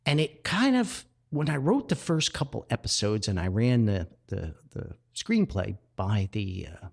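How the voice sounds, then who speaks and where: A man's voice: lowish voice, sing-song, measured pace, creaky. A man hosting a podcast, telling personal stories in a casual, folksy, enthusiastic and chatty way. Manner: folksy and chatty, addresses listeners as friends. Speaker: podcast host and writer.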